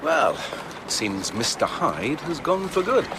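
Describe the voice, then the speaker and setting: Animated, breezy gentleman, higher-pitched. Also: a little slow. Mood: amused. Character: light-hearted, teasing gentleman companion. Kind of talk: radio drama acting. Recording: outdoor background noise.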